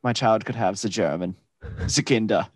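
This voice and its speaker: A man co-hosting a casual podcast, animated and laughing, bursting into loud playful voices. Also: fairly low pitch, moderate pace, slightly rough, no room sound. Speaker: podcast co-host. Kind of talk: casual chat.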